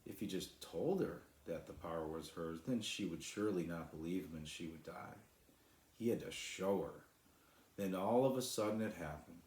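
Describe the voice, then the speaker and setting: A man's voice: sits low, a little mellow. A man narrating a children's story as an author reading his own book, recorded in an echoey room.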